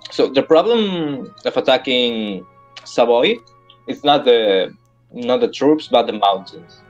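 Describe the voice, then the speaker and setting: A man playing a strategy game online, talking haltingly and searching for words, often clearing his throat. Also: medium pitch, lively intonation, dull audio, faint music behind. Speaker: fellow player. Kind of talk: casual multiplayer voice chat.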